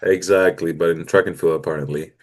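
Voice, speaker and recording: Deep-voiced Greek man in his twenties. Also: steady tone, fairly quick. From Greece. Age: 20s. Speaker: guest athlete. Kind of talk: casual podcast interview.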